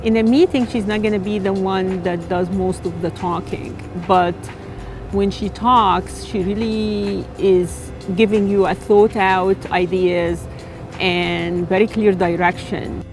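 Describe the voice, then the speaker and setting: A woman's voice: mid-range voice, fairly even intonation, slow pace. A woman giving an interview-style testimonial about a colleague. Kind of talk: interview testimonial for a tribute film. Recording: music behind the voice.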